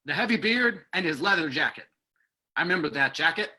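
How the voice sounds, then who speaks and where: A man's voice: fairly high pitch, expressive, brisk, pushed and bright, heavily acted. A man playing an earnest, practical government security agent in an old-time radio drama. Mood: earnest, grim.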